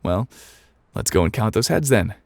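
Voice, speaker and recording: A man voicing a shrewd, assured storybook mayor who speaks in a firm, calm, declarative way. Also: on the low side, moderate pace, gravelly, no room sound.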